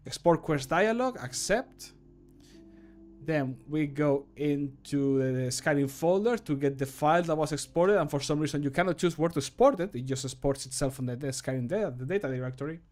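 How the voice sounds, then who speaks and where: Informal, jokey man with higher voice. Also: animated, a little fast, darkish tone. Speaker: streamer and amateur voice actor. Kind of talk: casual livestream chat while working. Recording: music in the background. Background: music.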